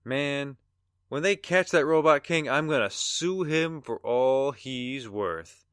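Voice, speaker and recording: An actor playing an arrogant, rich attorney in an audio drama, brash and scornful in manner. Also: male voice, mid-range voice, slow pace, a bit bright, clean tone, dry recording, studio quality. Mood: blustering, smug. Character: arrogant, rich, sneering attorney, blustering rival.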